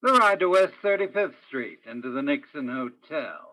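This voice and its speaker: An actor playing a world-weary police detective who narrates a radio play in a deliberate, dramatic way with heavy pauses. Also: male voice, on the high side, expressive, sharp tone. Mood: brooding, intense. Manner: deliberate, dramatic, poetic second-person delivery with heavy pauses. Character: world-weary, brooding male police detective named Danny. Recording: dull, lo-fi sound.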